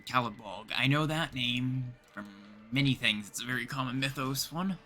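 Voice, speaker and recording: A man's voice: mid-range voice, normal speed, sharp tone, soft background music. A man from Canada chatting casually as he livestreams a game.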